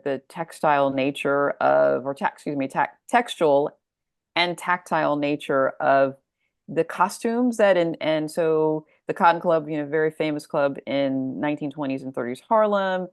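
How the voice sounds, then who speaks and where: Low-voiced, creaky American woman, thinking aloud. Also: no echo, clean studio sound. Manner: thoughtful, discursive, thinking aloud. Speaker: guest author discussing her story collection. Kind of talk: casual interview talk.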